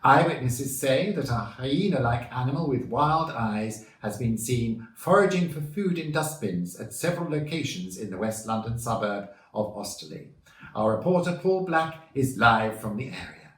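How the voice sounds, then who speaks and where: A man playing a grim TV newsreader in a children's story, announcing gravely and formally. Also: mid-range voice, expressive, moderate pace, roomy sound, pitched above the performer's own voice, natural voice.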